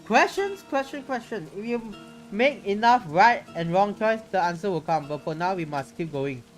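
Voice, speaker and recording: A man's voice: high voice, expressive, moderate pace, faint music behind, poor audio. A man reading a visual novel aloud in a theatrical, playful way, with casual reactions in between. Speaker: streamer.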